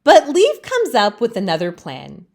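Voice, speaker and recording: A woman reading a children's storybook aloud as a storytime host, with expressive narration. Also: a little high, a little slow, vocal fry.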